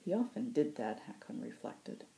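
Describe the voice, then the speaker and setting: A woman's voice: sits low, sing-song, moderate pace, warm tone, poor audio. A woman hosting a story reading, narrating fiction excerpts in an audiobook style. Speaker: host reading book excerpts.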